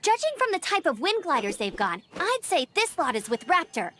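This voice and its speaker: A woman voicing a spirited, confident young woman knight in a video game, with bold, energetic delivery. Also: sits high, a little fast. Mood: urgent, resolute. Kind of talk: animated video game voice acting.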